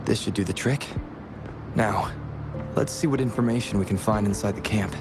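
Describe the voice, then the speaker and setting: An actor voicing a composed, analytical investigator in a video game, measured and serious. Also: male voice, on the low side, over music, close to an everyday voice. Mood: focused. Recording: clear background ambient noise.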